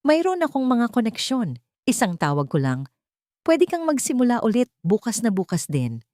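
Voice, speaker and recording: A woman's voice: fairly high pitch, huge pitch swings, moderate pace, darkish tone, no echo, studio quality, pitched above the performer's own voice. A woman voicing a cold, mocking rich young man, speaking with smug condescension.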